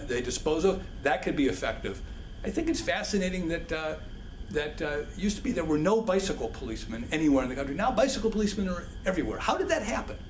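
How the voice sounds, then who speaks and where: A man answering audience questions at a public policy forum, halting and full of restarts. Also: a little high. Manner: halting, many restarts and fillers. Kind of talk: public Q&A talk. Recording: echoey hall with background noise.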